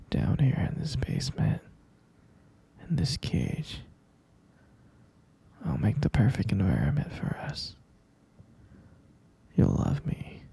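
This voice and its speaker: A man playing a possessive, lovesick kidnapper in an intimate ASMR roleplay, coaxing softly in a breathy half-whisper and repeating himself. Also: mid-range voice, exaggerated voice. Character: obsessive lovesick male kidnapper, soothing and possessive yandere.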